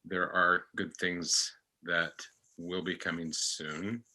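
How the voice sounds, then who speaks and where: Very bright, deep-voiced man, halting delivery. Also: level tone. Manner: halting, gentle wording, reassuring. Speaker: actor as podcast panelist. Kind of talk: reflective podcast discussion.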